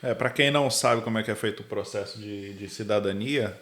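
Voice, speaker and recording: A man's voice: on the low side, fairly quick, fairly bright tone. Man in an echoey room. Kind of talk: casual interviewing. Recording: roomy echo.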